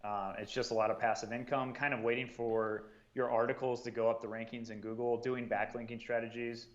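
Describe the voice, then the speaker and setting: A man at an ordinary middle pitch, talking through advice in a relaxed, easygoing way and leaning on "um" and "you know" as he goes. His audio sounds a little dull and muffled, like a remote call. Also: brisk. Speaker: guest, marketing and sales coordinator. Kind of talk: casual podcast discussion.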